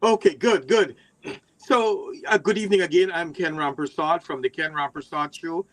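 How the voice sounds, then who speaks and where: A man from Guyana, a guest on a livestream talk show, speaking in a heartfelt way and slowing down for emphasis, over an online call. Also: on the high side, a bit bright, poor audio. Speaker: guest, nurse and advocate. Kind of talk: casual livestream chat.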